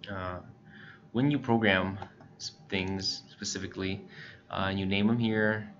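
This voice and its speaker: A man giving a casual software walkthrough as a tutorial presenter, speaking informally and a bit hesitantly with frequent short pauses. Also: low-pitched, steady tone. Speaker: tutorial presenter for a scanner retailer. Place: indoors, voice-over for screen recording. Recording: muffled, lo-fi with clear background noise.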